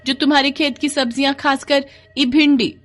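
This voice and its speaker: A woman's voice: high voice, flat delivery, moderate pace, fairly bright tone, faint music behind, pitched above the performer's own voice. A woman voicing a jealous, hot-tempered village wife in a cartoon story, scolding and taunting in a rustic eastern Hindi dialect.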